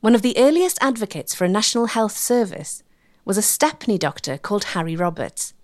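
A woman delivering scripted documentary narration in a measured, rhetorical manner with emphatic phrasing. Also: mid-range voice, very animated, a little mellow, dry recording, clean studio sound.

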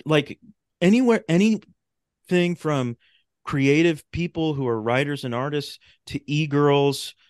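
Clear-voiced man chatting in a dry recording. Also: a little high, slow pace, darkish tone, no echo. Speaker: podcast guest, talk show host and radio drama maker. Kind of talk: casual interview chat.

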